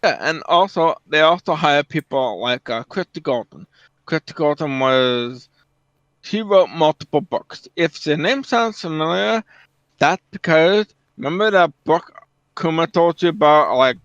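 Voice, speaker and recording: A man with a fairly high, smooth voice talks casually and matter-of-factly about games. The recording is dull and lo-fi, and dry with little room sound. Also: animated, a little slow, a bit bright, no echo. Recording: dull, lo-fi voice track.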